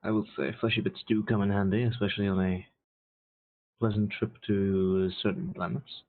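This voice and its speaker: A man's voice: fairly low pitch, little pitch movement, normal speed. A man playing a tabletop roleplaying game online, bantering playfully and flirtatiously in character. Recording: tinny online call audio.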